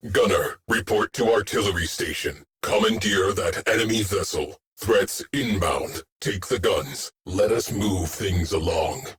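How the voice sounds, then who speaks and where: Low, slow, stern starship AI. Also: male voice. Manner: clipped, deadpan orders in short fragments. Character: terse military starship AI, male, stern and stoic. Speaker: ship's tactical AI officer. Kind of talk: video game mission callouts.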